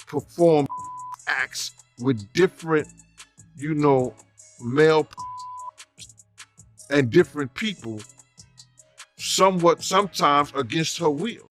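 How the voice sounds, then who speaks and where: A man talks in a loose, conversational way, repeating his points for emphasis. His voice sits a little high. Faint music plays quietly under him. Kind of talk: casual talk.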